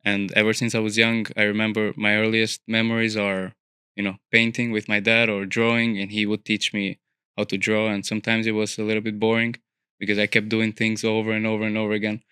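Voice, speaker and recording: A man's voice: on the low side, monotone, brisk, sharp tone, clean tone, no echo, clean studio sound. A young man from Albania, an architecture student, chatting as a podcast guest in a thoughtful way with many fillers and restarts. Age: young adult. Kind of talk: casual interview conversation. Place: studio.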